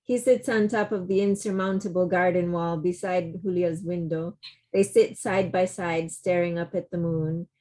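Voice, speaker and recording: A woman reading stage directions plainly and deliberately as narrator of a play table read. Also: fairly low pitch, steady tone, warm tone. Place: remote video call.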